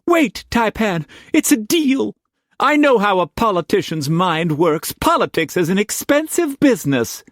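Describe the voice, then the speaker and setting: Man doing a high shrill young woman, pleading, distraught, dry close sound. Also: sing-song, measured pace, no echo. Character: desperate young woman, twenty, pleading, tearful.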